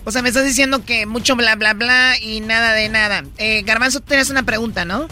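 A woman's voice: on the high side, fairly even intonation, fairly quick, pushed and bright, soft background music. A woman hosting a casual talk show and asking questions. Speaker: radio host.

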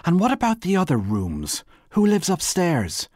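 A man's voice: low-pitched, moderate pace, husky, dry recording, studio quality. A man voicing a large, angry, suspicious drunken wife with a rich, meaty voice in an audiobook story. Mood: furious, accusing. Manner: loud, confrontational, accusing. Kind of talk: literary audiobook character voice.

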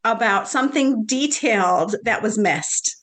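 A woman guest on a podcast, expressive and reflective, quick with exclamations as she talks about her marriage and business. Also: fairly high pitch. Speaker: podcast guest, blogger and mother. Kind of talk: casual podcast interview.

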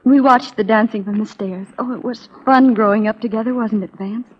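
A woman's voice: on the high side, a little fast, natural voice. Actress doing poised wistful southern spy lady, old 40s radio drama, muffled lo-fi sound. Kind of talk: 1940s radio acting. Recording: dull lo-fi 1940s radio broadcast.

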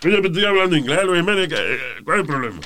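A man fires off brash, teasing comebacks in studio banter. His voice sits a bit high and bounces lively up and down. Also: racing.

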